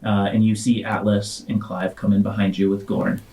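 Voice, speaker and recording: A man running a tabletop roleplaying game, telling the story to the players in a halting, deliberate way. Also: lowish voice, flat delivery, warm tone. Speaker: game master.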